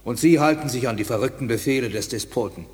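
A male actor voices a reptilian alien officer in an eighties radio play. He is blunt and skeptical, with a medium-pitched voice. A long reverb hangs around his words. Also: fast, a little mellow, close to an everyday voice. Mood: scornful, defiant. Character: reptilian alien commander, doubtful subordinate turned defiant. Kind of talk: 1980s German radio drama acting.

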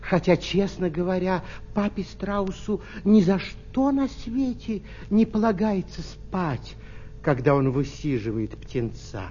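A male storyteller reads a children's tale slowly, with expressive pauses. His voice is soft-toned and mellow, and the recording is a little muffled, with music behind him. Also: high voice, a little hiss or hum. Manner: expressive, deliberate phrasing with pauses. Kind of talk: scripted children's fairy tale narration.

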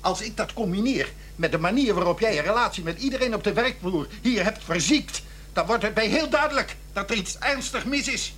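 A man's voice: sits high, expressive, brisk, a bit bright, a little hiss or hum. A man playing a stern, exasperated company boss in a radio drama, blunt, commanding and sarcastic.

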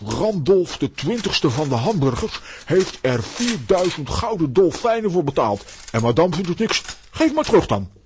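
A man's voice: fairly high pitch, sing-song, moderate pace. An actor playing a vain, easily offended comic man in a children's radio play, indignant and theatrical. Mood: indignant, hurt. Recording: clearly noisy recording with clicks.

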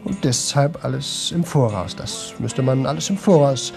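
An actor playing a young man in a radio play, exhausted and desperate, with a sore, rough throat, talking on in halting, repeated fragments. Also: medium pitch, music in the background, lightly acted. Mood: rambling, muddled. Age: young adult. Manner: halting, repetitive, broken fragments. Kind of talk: 1970s German radio play monologue.

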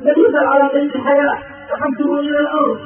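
High-pitched man preaching through heavy echo. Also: completely flat delivery, rapid-fire. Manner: impassioned, dramatic, pleading. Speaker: Islamic preacher. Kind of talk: emotional sermon with storytelling and rhymed exhortation. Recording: echoey, phone-like lo-fi, distant, music behind.

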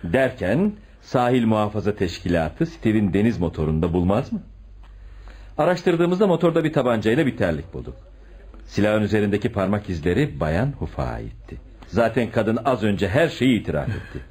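An actor playing a sensible police commissioner in a radio play, reporting in a conversational, storytelling way. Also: male voice, on the low side, sing-song, moderate pace, darkish tone, slightly rough. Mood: matter-of-fact, explanatory. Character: sensible, matter-of-fact police commissioner reporting to his chief. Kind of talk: Turkish radio theatre acting.

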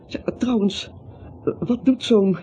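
A man's voice: very high voice, slow, warm tone. An actor playing a nervous, bewildered little man in a radio play, dithering, stammering and repeating himself. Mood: wry, bewildered. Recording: muffled old radio recording, faint hiss.

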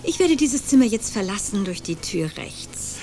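An actress playing a calm, ironic woman who quietly accuses two men in a radio play, music behind her. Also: mid-range voice, moderate pace.

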